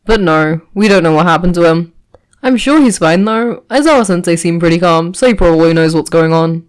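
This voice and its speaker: A woman's voice: lowish voice, animated, fairly quick, close to an everyday voice. A lazy, easygoing teenage boy voiced by a woman reading a story. His delivery is casual and unbothered, laid-back and reassuring. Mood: lazily reassuring.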